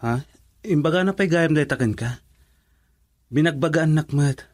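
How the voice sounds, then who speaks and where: A man's voice: a little high, normal speed, dry recording, clean studio sound, close to an everyday voice. An actor with an Ilocano accent playing a deferential male employee in a radio drama. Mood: concerned.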